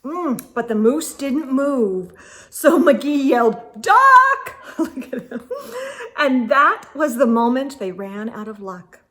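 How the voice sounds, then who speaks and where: A woman's voice: a little high, takes it slowly. A woman reading a children's picture book aloud as a storytime host, with theatrical, suspenseful delivery and little asides. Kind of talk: expressive children's read-aloud narration.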